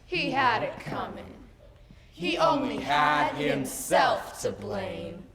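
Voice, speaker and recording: A woman's voice: mid-range voice, sing-song, takes it slowly, echoey room, long reverb tail, crowd noise. A young woman performing a rhythmic stage chant and monologue in a school reader's theater piece, recorded in a large auditorium with an audience. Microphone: far room mic.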